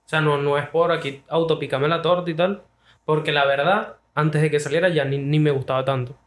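A man's voice: fairly high pitch, fairly even intonation, a little fast. A young Venezuelan man, a guest musician, talking loosely and casually about his work into a studio mic. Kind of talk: casual interview chat.

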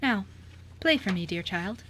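A woman playing a stern but kindly older music teacher in a radio play, firm, measured and encouraging. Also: medium pitch, lively intonation, fairly bright tone, slight background noise. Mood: welcoming. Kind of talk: amateur radio play acting.

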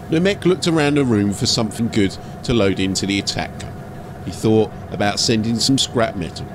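A man's voice: on the low side, background noise. A man giving a scripted, dramatic narration as an audiobook storyteller.

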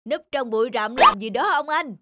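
An actor voicing a cunning, familiar, needling wolf sidekick in an animated fable. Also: high voice, moderate pace. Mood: teasing. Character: cunning, teasing wolf sidekick, informal troublemaker. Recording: loud music behind the voice.

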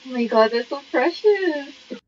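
A woman's voice: high-pitched, sing-song, takes it slowly, a little mellow. A woman giving casual, off-the-cuff reaction commentary as she plays a game. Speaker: game streamer. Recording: dull microphone, faint background noise.

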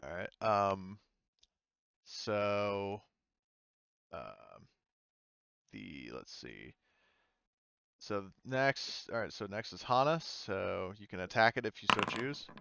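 Bright-voiced man refereeing a tabletop game. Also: fairly low pitch, animated, takes it slowly, gravelly, long pauses, smooth, no echo, highs cut off. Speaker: game master.

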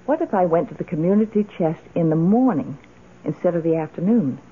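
An actress playing a self-assured, decisive, well-off middle-aged widow in a radio drama. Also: female voice, on the low side, animated, a little fast, breathy edge. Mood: confident, reassuring. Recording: muffled old radio broadcast recording.

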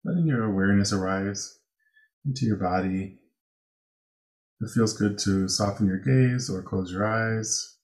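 An American man with a fairly low voice teaches a guided meditation. He gives gentle instructions without hurry, leaving pauses between phrases. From Chicago, United States.

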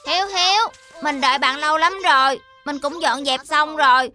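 A small plaintive cartoon squirrel. The voice is extremely high, bright and childlike. There is light music behind it. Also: female voice, normal speed. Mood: waiting, plaintive. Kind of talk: dubbed children's cartoon acting.

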